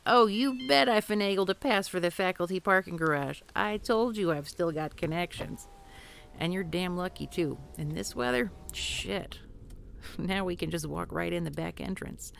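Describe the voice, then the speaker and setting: Wry ex-professor type, low gravelly voice, dry and bossy, a woman playing her. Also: moderate pace, fairly bright tone, slight background noise. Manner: dry, teasing, takes charge, swears casually. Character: wry, self-assured disgraced former woman professor. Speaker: historical society leader. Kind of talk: scripted audio roleplay.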